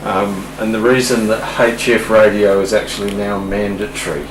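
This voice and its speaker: An Australian man hosting an informal interview, feeling his way through questions with halting phrasing. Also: lowish voice, level tone. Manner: hesitant, halting. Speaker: interviewer, host. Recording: roomy, distant mic, faint hum.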